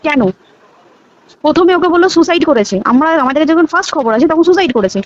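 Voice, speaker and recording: A young Bengali woman from the sound of her West Bengal accent, speaking very quickly in a high voice. She is insistent and conversational, repeating herself for emphasis, on a dull, lo-fi phone recording. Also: lively intonation. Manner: emphatic, insistent, repeats words and checks listener follows. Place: phone call.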